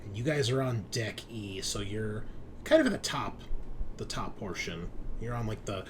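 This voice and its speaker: A man's voice: fairly low pitch, very animated, moderate pace, a little hiss or hum. A man casually explaining things to players as game master of an online tabletop roleplaying game, in an informal way.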